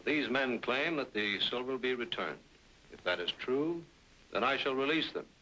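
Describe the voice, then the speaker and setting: Casting: stern, rule-bound frontier major, mid-pitched and forceful, measured old radio delivery. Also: male voice, animated, low-quality recording. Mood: stern, official. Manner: formal, authoritative, measured. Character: firm, by-the-book army major in the Old West. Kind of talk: old-time radio Western acting. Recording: old radio drama with faint music.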